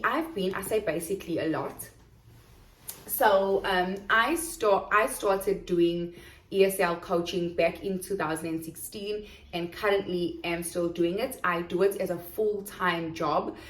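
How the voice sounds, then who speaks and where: A woman with a Durban South African accent explaining in a casual, chatty vlog how to start coaching English online, checking in often with "okay?". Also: lowish voice. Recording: echoey room.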